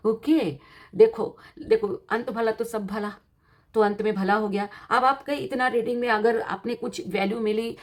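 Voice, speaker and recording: A woman's voice: on the high side, steady tone, a little slow. A woman with an Indian accent and a slightly worn voice gives an informal tarot reading, explaining each point in a teacherly, encouraging way and often repeating and rephrasing it. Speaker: tarot card reader and host.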